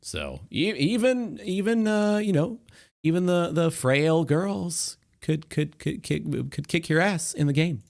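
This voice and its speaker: A man's voice: a little high, animated, a little slow, gravelly. A man hosting a gaming talk show, commenting casually on clips in a profane, hyped way. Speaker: talk show host and streamer.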